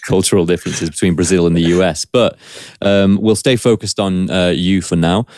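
A man hosting a podcast, reading a scripted intro and then asking questions in a measured, hesitant way. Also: low voice, fairly even intonation, darkish tone. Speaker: podcast host. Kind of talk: scripted intro and casual interview.

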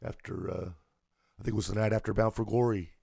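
Hoarse man with a gravelly low voice. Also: quick pace, no echo, clean studio sound. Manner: relaxed, reads off a list of dates. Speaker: podcast co-host. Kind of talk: casual wrestling chat.

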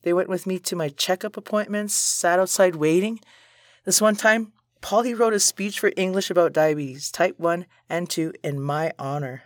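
A woman's voice: lowish voice, normal speed, no room sound, clean studio sound, lightly acted. An actress playing a blunt, sarcastic young woman who holds grudges, in an audio drama. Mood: reflective, wry.

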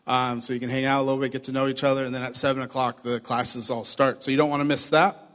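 A man with a hoarse voice, pastoring a church, giving chatty announcements and a short sermon to his congregation. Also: mid-range voice, level tone, fast talker, fairly bright tone. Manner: upbeat, chatty, addresses congregation directly. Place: church sanctuary. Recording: long reverb of a church hall.

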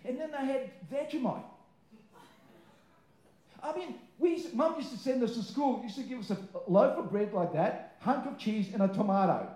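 A man preaches in a high, mellow voice, speaking English as his second language. He leans hard on key words, drawing them out, then slips into quick informal asides and questions to his listeners. The room echoes clearly around him. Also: animated. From born abroad, moved to Australia aged nine. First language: not English; English is a second language. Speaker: pastor preaching. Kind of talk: sermon to a live congregation. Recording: roomy, echoing live sermon sound.

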